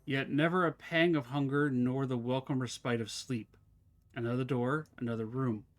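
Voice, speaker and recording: A man voicing a weary prisoner of endless rooms, speaking in a lowered, solemn, unhurried voice. The tone is grim and resigned, in the manner of a game's journal narration. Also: steady tone, soft background music, close to an everyday voice. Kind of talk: dramatic reading of game text.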